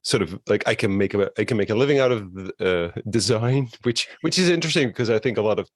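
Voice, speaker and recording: A man's voice: lowish voice, lively intonation, fast, dry recording, studio quality. A man hosting a casual interview, asking rambling questions with many restarts and offering encouraging "yeah" and "mm-hmm" as he listens. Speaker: interviewer, host.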